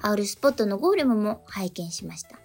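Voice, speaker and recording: A woman's voice: medium pitch, expressive, fast, a little mellow. A woman co-hosting a radio show, reading listener letters and chatting attentively. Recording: music behind the voice. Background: background music.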